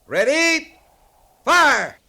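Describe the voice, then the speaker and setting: An actor playing a raw young cavalry lieutenant, earnest, formal and dutiful, in a 1950s radio western. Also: male voice, extremely high pitch, sing-song, very slow, vocal fry, heavily acted. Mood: shouted commands. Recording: noisy 1950s radio broadcast recording.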